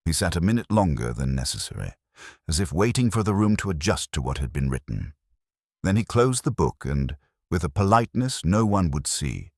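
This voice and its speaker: A man with an exceptionally low voice narrates a mystery novel in a close, dry recording. His delivery is measured and understated, yet the pitch moves with lively variation through each phrase. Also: creaky, husky, no echo. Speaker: audiobook narrator.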